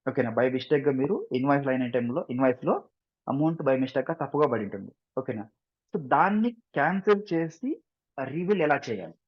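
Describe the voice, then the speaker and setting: A man with an Indian accent giving an informal software tutorial, repeating himself, mixing in English terms and often checking that listeners follow. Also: a little high, dull audio. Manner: repetitive, frequent check-in questions, mixes English terms into speech. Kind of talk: screen-share tutorial, informal explanation.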